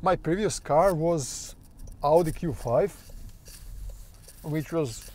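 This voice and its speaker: A man's voice: a little high, slow pace, warm tone. A man, rambling casually and hesitantly through a review of his new car from inside it, swearing now and then. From Croatia. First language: Croatian. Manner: loose, hesitant, full of fillers and restarts, swears casually.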